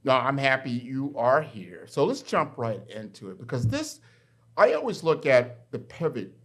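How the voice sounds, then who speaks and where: A middle-aged man hosting a podcast interview from a university, framing questions in an energetic, conversational way. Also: mid-range voice, normal speed, husky. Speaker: podcast host. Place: university in Miami.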